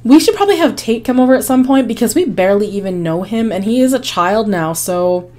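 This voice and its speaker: A woman hosting a life-sim game video, her voice hoarse and fading, chatting casually with frequent ums and small laughs. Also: fairly high pitch, lively intonation, brisk, a little mellow. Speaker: gaming channel host. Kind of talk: casual gameplay commentary.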